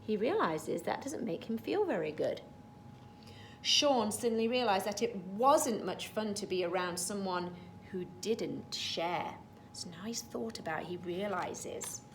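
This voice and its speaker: A woman's voice: mid-range voice, lively intonation, a little slow, slight background noise. A woman teacher reading a storybook to young children, stopping to explain things in simple words. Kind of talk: storybook read-aloud with explanatory asides.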